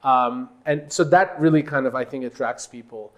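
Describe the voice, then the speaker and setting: A man from Turkey, a native Turkish speaker, giving conversational interview answers as a company executive, in halting phrases with emphatic points. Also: mid-range voice, fairly bright tone.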